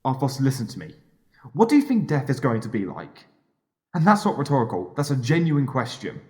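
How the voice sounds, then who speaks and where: A man's voice: medium pitch, sing-song, brisk, a little mellow, natural voice. An actor playing a man's confident inner self in an audio drama, earnest and pleading, with an emphatic, coaxing manner. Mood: urgent, earnest.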